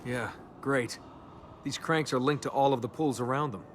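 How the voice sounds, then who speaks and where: A man's voice: medium pitch, normal speed, close to an everyday voice. A man playing a cocky, flippant young adventurer in a video game, talking in a sardonic, conversational way. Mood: wry, impatient. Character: cocky, wisecracking young male adventurer, self-centred but good-humoured. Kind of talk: video game dialogue acting. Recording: noisy game ambience behind voice.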